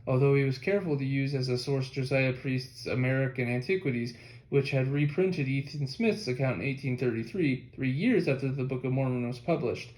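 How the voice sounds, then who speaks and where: A man's voice: mid-range voice, a little fast, darkish tone. A man reading a nonfiction history book aloud as scripted audiobook narration. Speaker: audiobook reader. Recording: echoey room.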